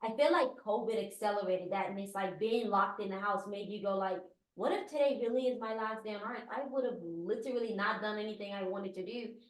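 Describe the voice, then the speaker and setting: A woman's voice: mid-range voice, a little fast, hardly any pauses, echoey room, poor audio. A woman from Guyana, a business consultant, answering interview questions earnestly with many "like" fillers.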